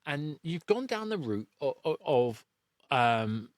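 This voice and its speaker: A man's voice: on the high side, very animated, slow pace, no room sound, clean studio sound. A middle-aged man hosting a casual interview, chatty and rambling, often rephrasing mid-sentence. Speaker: podcast host.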